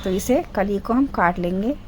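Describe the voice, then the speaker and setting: A middle-aged woman with a sore, hoarse throat, doing a voice-over for a sewing tutorial and explaining each step patiently, clearing her throat often. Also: mid-range voice, lively intonation, moderate pace, noisy background. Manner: step-by-step, repeats instructions. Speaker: sewing channel host.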